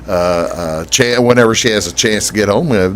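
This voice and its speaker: Halting, jokey male talk show host. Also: lowish voice, a little hiss or hum. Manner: halting, many fillers and restarts; jokey, laughs often. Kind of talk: casual interview chat.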